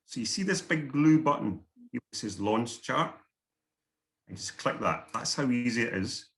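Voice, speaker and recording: A man's voice: medium pitch, animated, unhurried, fairly bright tone. A middle-aged man from Scotland with a Scottish accent, giving a workshop talk over a video call, earnest and often repeating his words. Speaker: guest speaker. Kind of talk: online workshop presentation.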